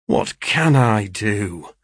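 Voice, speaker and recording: A man's voice: fairly low pitch, big pitch swings, very slow, slightly rough, dry recording, clean studio sound, full character voice. A man voicing a sulky, mischievous teenage brother in an audiobook of a classic novel, blunt and grumbling. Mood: sulky, defiant.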